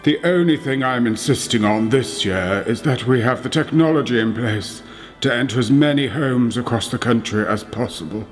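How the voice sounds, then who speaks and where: An actor playing a self-assured, smoothly insistent bishop in a radio play. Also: male voice, medium pitch, moderate pace, soft background music, natural voice. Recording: long echoing hall reverb.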